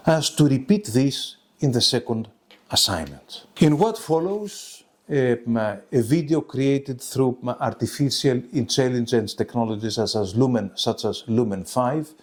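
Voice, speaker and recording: A man delivers a scholarly webinar lecture in a formal, deliberate manner. His intonation is very animated, rising and falling widely as he stresses key phrases. The delivery sounds partly read from prepared text. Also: medium pitch. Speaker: lecturer presenting a research webinar. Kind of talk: academic presentation, partly read from a script.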